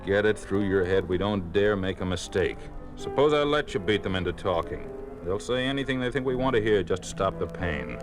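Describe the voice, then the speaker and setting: An actor playing a cold, calculating communist police officer, stern and commanding, in a 1950s radio drama. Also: male voice, deep voice, lively intonation, a little fast. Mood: contemptuous, firm. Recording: old radio broadcast recording with music.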